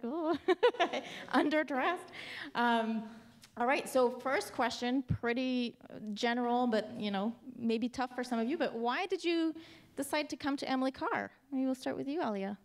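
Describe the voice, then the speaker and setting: A woman moderating a student panel in a university lecture hall on a handheld mic, upbeat and chatty, laughing often. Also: fairly high pitch.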